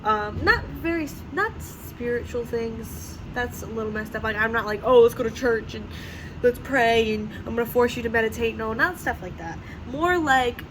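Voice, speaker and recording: A young woman in her twenties chats loosely and rambles, often correcting herself mid-sentence. Her fairly high voice is a little hoarse from a cold. There's a steady hiss of noise behind her. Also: normal speed. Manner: rambling, chatty, self-correcting, laughs often. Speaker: vlogger. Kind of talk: casual chat. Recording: clear background noise.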